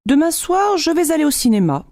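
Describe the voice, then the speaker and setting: A woman acting out everyday scripted dialogues for language learners, speaking clearly and deliberately. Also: high-pitched, sing-song, darkish tone, no room sound, clean studio sound.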